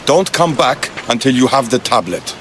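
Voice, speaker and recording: A man playing a stern, gruff Spartan commander in a video game, terse and commanding. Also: mid-range voice, expressive, moderate pace, a crowd in the background, natural voice. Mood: stern, irritated. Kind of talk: video game cutscene acting. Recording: music behind the dialogue.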